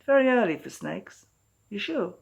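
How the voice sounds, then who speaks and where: A woman's voice: medium pitch, animated, moderate pace, creaky, slightly rough, pitched above the performer's own voice. A woman voicing a weathered, laconic old male snake catcher in a storybook, terse and unimpressed. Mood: terse, dry.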